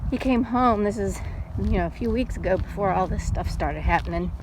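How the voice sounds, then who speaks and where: A middle-aged woman chatting casually in an unhurried, folksy way, like a mom telling stories while out on a walk. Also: medium pitch. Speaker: storytelling vlogger and mother. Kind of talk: casual rambling chat. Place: outdoors, walking. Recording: steady background noise, outdoors on a walk.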